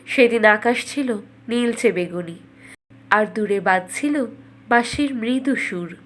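A woman's voice: fairly high pitch, slow. A woman reading scripted fairytale narration for a cartoon as a storyteller.

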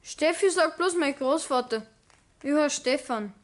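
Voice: high voice, animated, a little slow. A young actor playing a cheeky, haughty teenage apprentice boy with a Bavarian accent, grumbling offhand back talk in a 1960s radio play.

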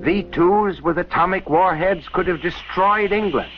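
A man's voice: sits high, measured pace. A man narrating a scripted 1940s educational film in a solemn, declamatory way. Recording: dull, band-limited 1940s film soundtrack. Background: orchestral film score music.

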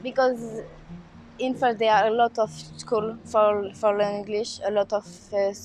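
A woman giving casual interview answers as a language student, halting and searching for words. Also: mid-range voice, level tone, darkish tone, soft background music.

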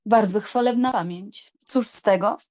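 A woman's voice: mid-range voice, normal speed, a little mellow, natural voice. An actress playing a stern, proud young noblewoman in an audiobook, curt and cutting in her speech. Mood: cold.

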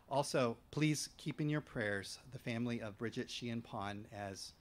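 A middle-aged male pastor leads an outdoor worship service, speaking into a stand microphone at a lectern. His delivery is measured and gentle, and his voice sits at an ordinary pitch. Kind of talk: leading outdoor worship and prayer. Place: outdoors at a church entrance. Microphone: stand mic at a lectern.

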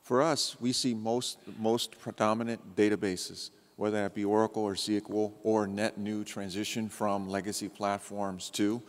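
A man's voice: fairly low pitch, flat delivery, moderate pace, hardly any pauses. A man in his fifties on a conference panel, laying out his points in a structured order. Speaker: panelist, IT firm executive. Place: large conference hall stage. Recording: long hall reverb.

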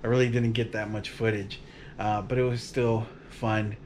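Relaxed man chatting on his couch. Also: lowish voice, normal speed, a little hiss or hum. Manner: relaxed, rambling, laughs often. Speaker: vlog host. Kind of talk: casual chat to camera. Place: living room at home, on a couch. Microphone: camera across the room.

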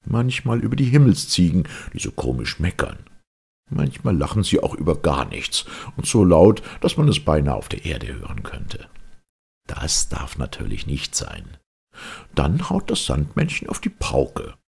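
A man with a gravelly, husky voice narrating a children's fairy tale as an audiobook reader, in an expressive, measured storytelling way. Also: rumbling low.

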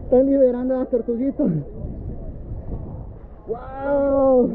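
A man's voice: very high-pitched, little pitch movement, slow. A man chatting casually as he films out in the sea, often in short exclamations. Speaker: vlogger filming. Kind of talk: casual chat while filming. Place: outdoors in the sea off a beach. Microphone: handheld action camera in the water. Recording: muffled action camera, noisy sea water.

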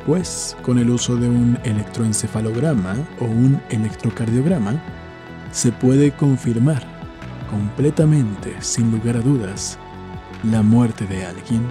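A man doing scripted, dramatic storytelling with measured, deliberate phrasing. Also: mid-range voice. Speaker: horror story narrator and channel host. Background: music.

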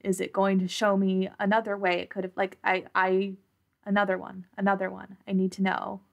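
A woman's voice: medium pitch, completely flat delivery, fairly quick, creaky, lo-fi sound. A woman playing a tabletop roleplaying game, improvising as she speaks to the game master.

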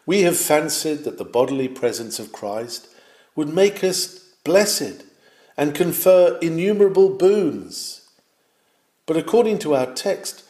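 A man reading an old sermon aloud in a measured, declamatory way, with swells of fervour. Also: on the high side, warm tone. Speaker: reader of a historic sermon. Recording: roomy, echoing sound.

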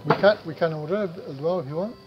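A man's voice: on the high side, fast talker, over loud music. Wry middle-aged southern English man, muffled. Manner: chatty, wry, exclaims at prices. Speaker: travel vlogger. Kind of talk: casual vlog chat. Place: small dark restaurant, indoors. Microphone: camera across the table.

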